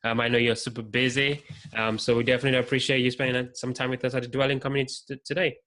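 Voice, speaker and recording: A man's voice: medium pitch, little pitch movement, rapid-fire, a bit bright, slight background noise. A man with a light voice hosting an interview, asking questions in a friendly way with many fillers. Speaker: podcast host.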